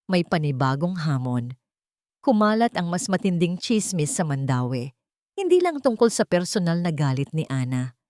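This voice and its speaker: A woman's voice: lowish voice, darkish tone, no echo, clean studio sound. A woman reading a scripted, dramatic romantic story as a narrator.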